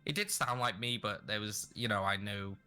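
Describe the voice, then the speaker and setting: A man with a fairly low voice and a bright, forceful edge, talking casually as an interview guest. He rambles, restarting sentences as he goes. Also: fairly even intonation, normal speed, soft background music. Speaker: guest streamer.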